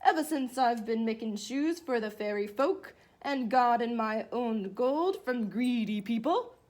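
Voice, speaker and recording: A woman's voice: fairly high pitch, animated, measured pace, a little mellow. A woman reading the part of a feisty, proud little old leprechaun cobbler from a storybook, with boastful, folksy phrasing. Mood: proud, storytelling.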